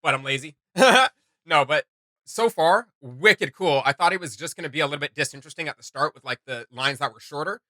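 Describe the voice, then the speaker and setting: A man's voice: fairly high pitch, a little fast, bright, forceful tone, no room sound, clean studio sound. A man hosting a reaction video, giving enthusiastic, slangy, off-the-cuff commentary.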